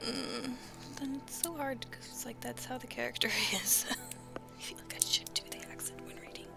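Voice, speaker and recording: A high-pitched woman whispers through a game, reading messages aloud in a breathy, creaky hush. She breaks off for hesitant little asides. Game music plays clearly behind her. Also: normal speed. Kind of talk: soft whispered game playthrough.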